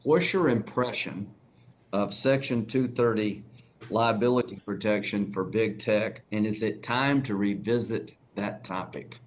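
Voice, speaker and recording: A man's voice: lowish voice, unhurried, slight background noise. A man with a Southern accent, a senator pressing a nominee with folksy, direct questions at a hearing.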